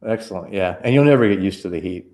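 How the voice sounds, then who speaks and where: A man's voice: deep voice, expressive, quick pace, darkish tone. A man in his forties hosting a casual church livestream interview, chatty and rambling with many fillers and restarts.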